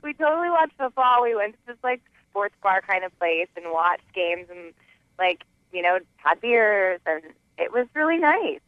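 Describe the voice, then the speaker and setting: A woman's voice: mid-range voice, sing-song, normal speed, dry recording. A woman calling a radio show by phone, casually telling a personal story in a hesitant way, often saying "like". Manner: hesitant, filler-heavy with frequent "like". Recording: muffled telephone line on radio.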